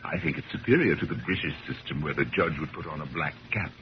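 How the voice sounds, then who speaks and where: An actor playing a courteous, rambling old bachelor collector in a 1970s radio drama, genteel and hesitant, with a creaky voice. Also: male voice, extremely low pitch, big pitch swings, fast talker, heavily acted. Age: older. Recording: dull, hissy old radio broadcast.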